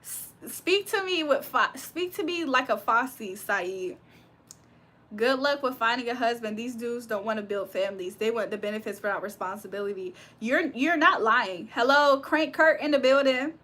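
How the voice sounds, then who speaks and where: Young woman on a livestream, bright voice sitting a bit high, reads chat out loud and laughs a lot. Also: normal speed. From Virginia. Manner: laughs often, reads comments aloud then reacts. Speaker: livestream host reading chat. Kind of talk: casual live chat.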